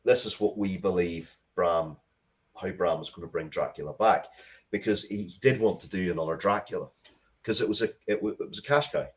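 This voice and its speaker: A man speaking casually as an interview guest, thoughtful and halting, often repeating words as he works out his point. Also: low-pitched. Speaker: interview guest, writer of Dracula comics and media.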